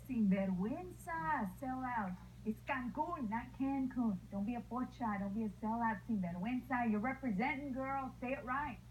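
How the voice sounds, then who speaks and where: A woman with a fairly high voice tells her own story with spirit and acts out the people she quotes. The recording is thin and phone-like, with faint hiss underneath. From El Paso, Texas. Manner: lively, acts out the voices of others. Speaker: former TV news anchor. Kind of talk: personal storytelling to camera.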